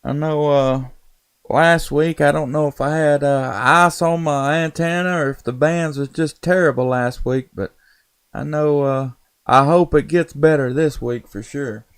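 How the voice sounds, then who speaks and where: A laid-back man, talking casually over amateur radio. His voice sits slightly high and has a gravelly creak. He comes across as folksy and easygoing while he runs his stream. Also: steady tone, measured pace. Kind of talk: casual ham radio chat.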